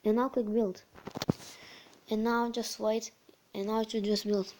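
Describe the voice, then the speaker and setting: A host casually talking through a phone tutorial over a screen recording, her voice a bit croaky, often repeating words and filling with "so yeah". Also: fairly high pitch, level tone, a little slow, a little mellow, rough recording. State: slightly croaky voice. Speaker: channel host.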